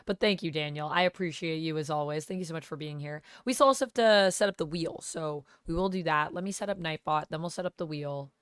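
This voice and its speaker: A woman streamer under the weather with a cold, talking casually with a gravelly, smoky voice as she chats with viewers and thanks them by name. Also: deep voice, quick pace, few pauses, no echo, clean studio sound. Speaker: live streamer. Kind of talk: casual live-stream chat, reading viewer comments. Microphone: gaming headset.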